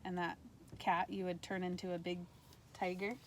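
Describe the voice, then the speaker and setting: A woman teacher reading a storybook aloud to kindergartners in an animated way, pausing to put questions to her listeners. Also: lowish voice. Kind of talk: read-aloud lesson for young children. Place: outdoors in a backyard tree fort among trees. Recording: faint outdoor ambient noise.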